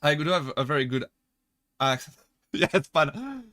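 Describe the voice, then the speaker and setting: A young man from France speaks with a French accent and a bright, slightly high voice. He chats loosely with his live-stream chat, often laughing and stumbling over restarts as he jokes. The sound is dry and close. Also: sing-song, measured pace, dry recording. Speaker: VTuber streamer.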